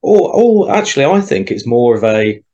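A young man in a casual group discussion, reflecting out loud and often acting out quoted remarks. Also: medium pitch, sing-song, moderate pace, lo-fi sound. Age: young adult. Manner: reflective, talks around his point with quoted asides. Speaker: podcast co-host.

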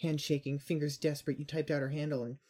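A performer narrating dramatic second-person fiction as an anguished, urgent storyteller with a breathy voice. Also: medium pitch, level tone, quick pace, warm tone, close to an everyday voice. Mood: desperate, panicked. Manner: intense, urgent, emotionally swinging. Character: anguished second-person narrator telling a frantic girl's awakening.

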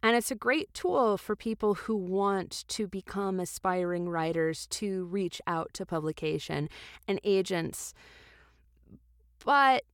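A woman playing a supportive, bookish podcast co-host who chats casually and haltingly in an improvised comedy. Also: medium pitch, animated, dry recording, studio quality. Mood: earnest musing. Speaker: book review podcast co-host.

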